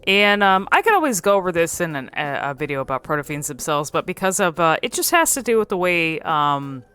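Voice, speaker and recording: A woman giving casual, musing commentary on a game, often pausing and repeating words as she thinks. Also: fairly low pitch, big pitch swings, a bit bright, smooth, soft background music. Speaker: video creator commentating on a game.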